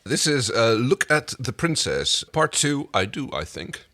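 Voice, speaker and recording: A man's voice: medium pitch, big pitch swings, unhurried, sharp tone. A man co-hosting a podcast, giving a casual, reflective and explanatory review of a television episode. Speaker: podcast co-host.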